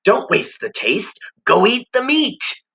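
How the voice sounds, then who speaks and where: A man's voice: a little high, sing-song, measured pace, pushed and bright, voice pushed up, heavily acted. A man voicing a wiry, sly male creature who coaxes and urges in a hissing, sing-song way. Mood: gleeful, coaxing. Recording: dull lo-fi recording.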